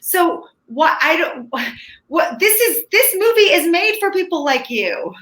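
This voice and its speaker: A woman's voice: extremely high pitch, sing-song, unhurried, fairly bright tone. A woman talking casually as a film critic in a review chat, recorded in an echoey room.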